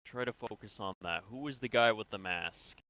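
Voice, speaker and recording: Man as a bright, clear, steady sci-fi comrade. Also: fairly low pitch. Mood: patient, probing. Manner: patient, measured, coaxing. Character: steady, reassuring sci-fi comrade questioning a recovering patient. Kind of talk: improvised online roleplay acting.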